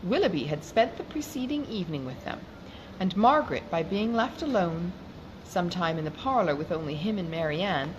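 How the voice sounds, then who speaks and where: A woman narrating a classic novel in a measured, expressive reading. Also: on the low side. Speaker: audiobook reader. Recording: noisy background hiss.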